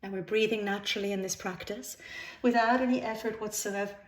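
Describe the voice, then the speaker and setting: A woman's voice: medium pitch, fairly even intonation, a little hiss or hum. Woman guiding meditation in an echoey room. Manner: soothing, unhurried phrasing with pauses. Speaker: yoga nidra instructor. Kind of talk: guided relaxation meditation. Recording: roomy, echoing sound.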